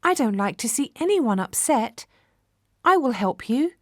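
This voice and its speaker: Woman as a high, kindly little fish. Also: big pitch swings, unhurried, no echo, clean studio sound. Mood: reassuring. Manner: gentle, reassuring. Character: kind, helpful fish.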